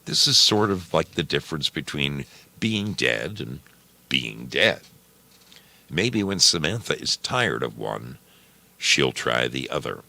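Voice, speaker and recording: A very deep-voiced man tells a story in slow, deliberate phrases. His gravelly delivery is dramatic and suspenseful. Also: sing-song, sharp tone. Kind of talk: dramatic scripted story narration.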